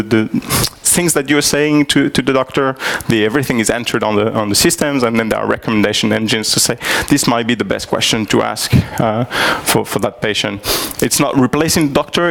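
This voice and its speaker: Gravelly man giving a tech talk. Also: on the low side. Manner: hesitant, many fillers and restarts. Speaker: tech conference speaker. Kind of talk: live technical presentation. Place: conference hall.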